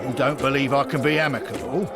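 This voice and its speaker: A man's voice: medium pitch, steady tone, fast talker, loud music behind the voice, lightly acted. A man voicing a touchy, belligerent gang thug in a cartoon game. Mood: menacing. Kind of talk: animated cartoon game voice acting.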